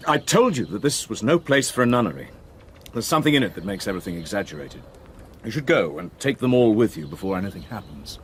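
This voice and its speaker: An actor playing a blunt, exasperated colonial man in a radio drama, brusque and gruff. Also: on the low side, sing-song, fast talker, a little hiss or hum. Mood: urgent warning. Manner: brusque, warning.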